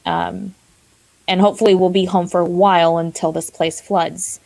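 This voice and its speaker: A woman from Texas, a guest in casual panel talk, measured and reflective. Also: fairly low pitch, level tone, creaky, darkish tone, no echo, poor audio. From born in Texas, grew up moving across the US South.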